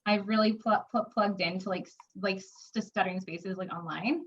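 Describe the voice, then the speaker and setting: A woman in her twenties talks openly about her own life, stuttering often with repeated sounds and blocks. She fills pauses with filler words, and the recording sounds dull and slightly lo-fi. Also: mid-range voice, fairly even intonation, slightly rough. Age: 20s. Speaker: interviewee. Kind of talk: personal testimony.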